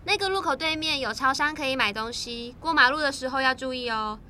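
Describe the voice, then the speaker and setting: A woman voicing a brisk schoolteacher giving instructions to her students on a trip. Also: sits high, bright, forceful tone, slight background noise. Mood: instructing.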